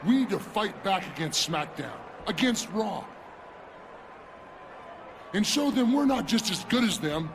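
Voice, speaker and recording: A boastful giant wrestler. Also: male voice, high-pitched, lively intonation, measured pace, vocal fry, pauses often, slight background noise, exaggerated voice. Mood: rousing. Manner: boastful, menacing. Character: huge brash wrestler rallying his brand. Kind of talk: video game cutscene acting. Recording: game audio under loud music.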